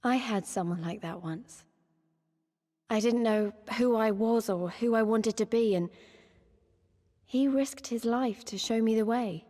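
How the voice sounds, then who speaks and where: Woman playing earnest young rebel fighter, higher voice. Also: mellow, in a large hall, lightly acted. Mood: wistful, sincere. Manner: heartfelt, halting, plain-spoken. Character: young female resistance fighter, earnest, passionate, self-doubting. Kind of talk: cinematic video game voice acting.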